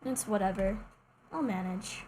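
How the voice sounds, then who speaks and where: A woman's voice: mid-range voice, a little slow, darkish tone. A woman streamer giving casual commentary while playing a game. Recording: clear background noise over game audio. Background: video game sounds.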